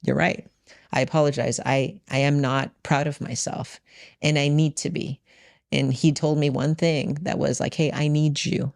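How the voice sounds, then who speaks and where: Creaky-voiced woman telling candid personal stories. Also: deep voice, fairly even intonation, normal speed, darkish tone, dry recording, studio quality. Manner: emphatic, re-enacts dialogue in direct quotes. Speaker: podcast guest and business owner. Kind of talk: candid personal storytelling in conversation.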